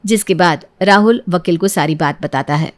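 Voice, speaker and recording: A woman's voice: fairly low pitch, normal speed. A woman storyteller reading a scripted narration.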